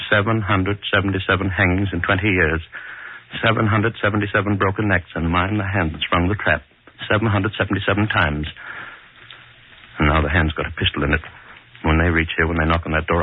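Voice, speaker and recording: A man's voice: low-pitched, slightly rough. An actor playing a tormented middle-aged hangman, brooding and repeating himself in 1940s radio horror style. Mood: bitter, haunted. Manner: brooding, repetitive, melodramatic. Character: tormented middle-aged hangman, bitter, haunted, guilt-ridden. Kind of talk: 1940s radio horror acting, inner monologue. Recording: muffled vintage 1940s radio recording.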